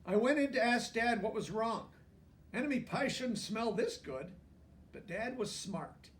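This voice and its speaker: A man's voice: high-pitched, animated, measured pace, far from the mic, a little hiss or hum. A man reads a children's picture book aloud expressively, explaining things simply for young listeners. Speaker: storybook reader.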